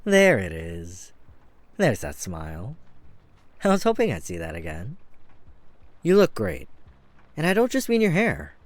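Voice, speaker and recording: A man's voice: lowish voice, wildly swooping pitch, a little slow, long pauses. Man acting a flirty catboy hairdresser, swooping intonation. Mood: warm, reassuring. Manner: gentle, bashful, earnest, chuckling at himself. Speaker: voice actor. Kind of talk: flirty ASMR-style roleplay audio drama. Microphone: close studio mic.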